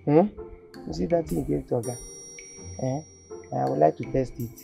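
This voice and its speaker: A woman's voice: sits low, moderate pace, vocal fry, mellow, faint music behind. A woman playing a bullying Nigerian steward. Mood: bossy. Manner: mocking, bullying, laughing. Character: scheming lecherous male house steward, bossy. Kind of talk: comedy skit acting.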